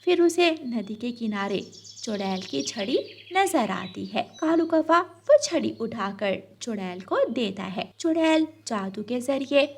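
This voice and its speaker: Casting: female children's story narrator, lively and highish, slight noise behind. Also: unhurried.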